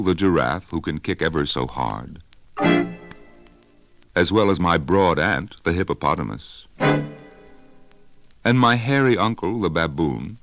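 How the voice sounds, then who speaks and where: A man's voice: low-pitched, full character voice. A man voicing a polite, curious young male elephant calf in a storybook reading, earnest and pleading.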